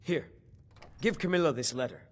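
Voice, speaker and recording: An actor playing a smug, jealous young village suitor in a fantasy video game, boastful and dismissive. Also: male voice, on the high side, animated, normal speed. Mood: scheming. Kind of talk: video game dialogue acting.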